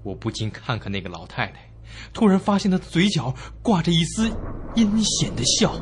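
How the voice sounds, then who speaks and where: A man's voice: fairly high pitch, moderate pace, background noise. An actor playing an uneasy young man, telling a ghost story in a suspenseful, dramatic first-person style. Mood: suspenseful, fearful. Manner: suspenseful, expressive. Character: uneasy young man narrating his haunted inn ordeal.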